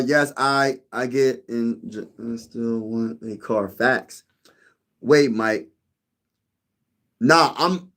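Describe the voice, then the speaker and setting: A man hosting a sports channel in a casual live-stream chat, rambling, repeating himself and calling listeners "bro.". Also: medium pitch. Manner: rambling, repeats phrases, says "bro" a lot. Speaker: YouTube sports channel host.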